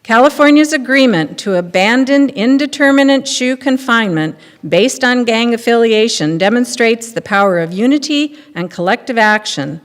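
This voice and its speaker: A woman's voice: fairly high pitch, lively intonation, moderate pace, few pauses. An older woman giving a scripted reading aloud from a lectern. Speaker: reader in readers theater. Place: large temple hall, at lectern. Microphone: microphone on stand. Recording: echoey hall.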